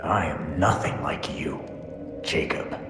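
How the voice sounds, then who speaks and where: An actor playing a brooding, defiant young vigilante in a game cutscene, blunt, accusing and intense. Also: male voice, rumbling low, takes it slowly, loud music behind the voice. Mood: defiant, angry. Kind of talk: dramatic video game cutscene acting. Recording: echoey hall with long reverb.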